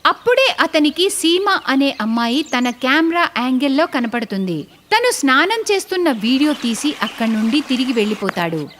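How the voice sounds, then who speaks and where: High-pitched, animated woman storyteller. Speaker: story narrator. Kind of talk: scripted dramatic storytelling narration.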